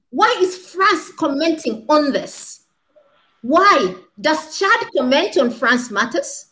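A woman's voice: high voice, fairly bright tone. A woman in her thirties giving impassioned commentary as a panel guest on a video call, asking rhetorical questions and repeating points for stress. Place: small room indoors. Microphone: laptop webcam video call.

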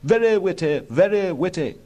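A man's voice: sits high, animated, moderate pace, mellow, muffled recording, pitched above the performer's own voice. A man playing a hearty, gushing Victorian prince in a comic reading, pompous and congratulatory. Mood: hearty praise.

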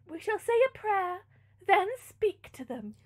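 A woman's voice: extremely high pitch, big pitch swings, slow, a little mellow, voice pushed up, heavily acted. A woman voicing a composed, bold young princess in a gothic novel, formal and imperious. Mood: resolute. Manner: imperious, formal, archaic diction. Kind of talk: gothic novel read-aloud.

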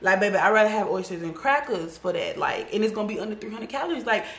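A woman's voice: medium pitch, expressive, quick pace, a bit bright. A woman in her thirties chatting casually through a grocery haul in her home kitchen, sassy and talkative, calling her viewers y'all and baby. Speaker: vlogger. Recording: echoey kitchen.

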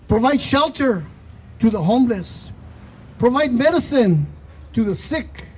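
A man leading a solemn outdoor prayer in a slow, measured way, speaking into a handheld mic. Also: very high-pitched, animated, a little mellow, breathy edge. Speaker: prayer leader. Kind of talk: spoken prayer. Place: outdoors in a park.

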